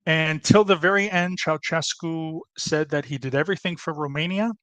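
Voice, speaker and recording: A man's voice: fairly high pitch, normal speed, a bit bright, no room sound. Man presenting history, dry close sound. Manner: explanatory, with many fillers and restarts.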